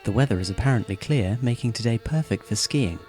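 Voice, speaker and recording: A man voicing a polite, composed teenage boy who speaks in a calm, measured way, with faint music behind him. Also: medium pitch, lightly acted. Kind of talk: fan audio drama acting. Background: faint background music.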